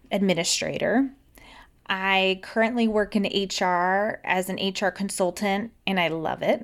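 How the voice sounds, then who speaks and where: A woman co-hosting a podcast, talking in a chatty, upbeat way and laughing often. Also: medium pitch. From United States. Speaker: podcast co-host. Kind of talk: casual podcast chat.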